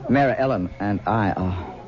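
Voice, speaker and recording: A man's voice: fairly low pitch, normal speed, over music, close to an everyday voice. An actor playing a torn young man in his twenties, speaking earnestly and anxiously, on a lo-fi 1970s radio drama recording. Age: 20s.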